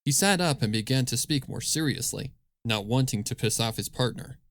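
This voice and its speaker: Man narrating a story, medium pitch. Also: animated, normal speed, fairly bright tone, dry recording, studio quality. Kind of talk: scripted fan fiction story narration.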